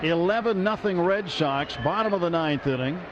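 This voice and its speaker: A man calling a baseball game as the radio play-by-play announcer from a ballpark booth, with brisk, measured play calling. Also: sits high. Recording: muffled radio broadcast with crowd noise.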